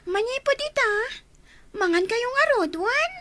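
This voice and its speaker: Very high, lively child. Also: female voice, a little slow, exaggerated voice. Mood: concerned. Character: young child, eager and caring toward parents. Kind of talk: Ilocano radio drama acting.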